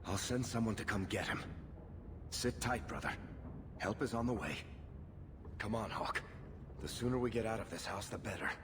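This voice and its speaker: A man's voice: fairly low pitch, normal speed, long pauses, noisy background, lightly acted. A man playing a sardonic, loyal dwarf rogue who wisecracks, in a video game cutscene. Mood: weary, urgent.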